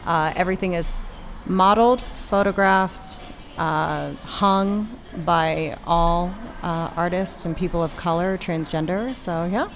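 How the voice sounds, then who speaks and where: Woman, 30s, from Puerto Rico, shop owner; lower voice, faint room hiss behind. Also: steady tone, unhurried. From Puerto Rico, raised in Florida. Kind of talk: casual interview talk.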